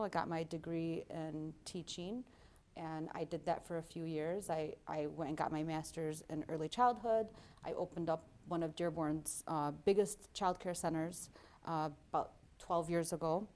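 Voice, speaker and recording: A woman's voice: low-pitched, steady tone, moderate pace. A woman in her forties, a guest on an interview show, talking earnestly with frequent fillers. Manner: warm and earnest, with many fillers. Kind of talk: casual interview talk.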